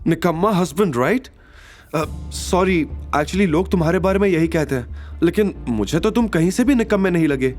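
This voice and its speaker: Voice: medium pitch, expressive, normal speed, darkish tone, soft background music, lightly acted. An actor playing a hurt, earnest young woman in an audio drama, emotional and reproachful in manner. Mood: calmer, grateful. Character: distressed, hurt young woman, bitter yet sincere. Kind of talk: Hindi audio drama acting.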